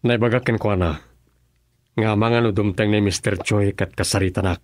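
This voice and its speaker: An actor playing an authoritative male governor in an Ilocano radio drama, measured in manner. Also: lowish voice, steady tone, lightly acted. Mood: serious, explaining.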